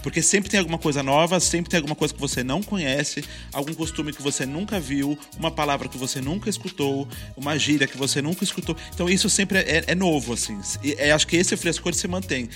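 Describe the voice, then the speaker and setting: A Brazilian man with a Brazilian Portuguese accent and a fairly high voice talks in a relaxed, reflective way, often restarting his sentences. Faint music plays underneath the conversation. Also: fast, few pauses. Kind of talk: casual chat.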